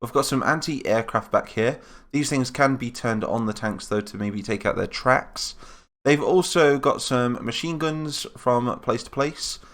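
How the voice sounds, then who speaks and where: A man's voice: medium pitch, measured pace, a bit bright. A man with a cold and a nasal voice, giving chatty, rambling commentary over a battle-simulation game and correcting himself as he goes. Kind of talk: casual gameplay commentary.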